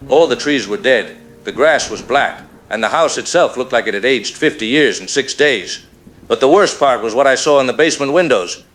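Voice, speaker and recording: A man's voice: fairly low pitch, fairly bright tone, lightly acted. A man playing a doomed, haunted power-line worker calling in to a radio drama, grim in manner. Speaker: caller. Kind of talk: radio drama phone-in account.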